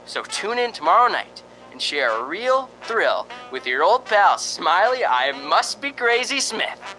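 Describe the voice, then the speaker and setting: Radio drama disc jockey played by a woman: hammy prankster patter, bright, animated delivery. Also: fairly low pitch, unhurried, faint music behind, exaggerated voice, low-quality recording. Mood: excited, teasing. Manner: hammy, teasing showman patter. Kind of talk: old-time radio drama acting.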